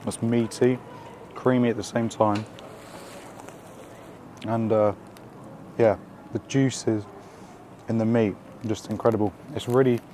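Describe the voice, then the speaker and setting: A man hosting a casual food vlog while out in the city, his voice croaky from being ill, chatty and upbeat with lots of slang and fillers. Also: lowish voice, dark tone, slight background noise. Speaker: food vlogger. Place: out and about in city streets and a small eatery.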